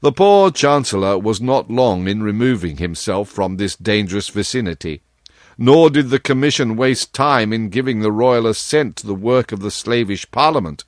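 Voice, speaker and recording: A man narrating a classic tale as a storyteller, in a measured, dramatic scripted style. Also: fairly low pitch, animated, no echo, clean studio sound.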